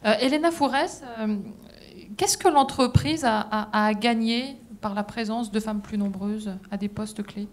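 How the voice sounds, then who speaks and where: A woman moderating a conference panel, measured and questioning. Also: a little high.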